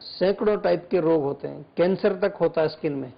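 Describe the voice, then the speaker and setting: Man, Ayurvedic doctor, high gravelly voice, calm explanations, dull lo-fi studio sound. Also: brisk. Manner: calm, explanatory, lists remedies step by step. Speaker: Ayurvedic doctor, show expert. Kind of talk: studio health talk, advising callers.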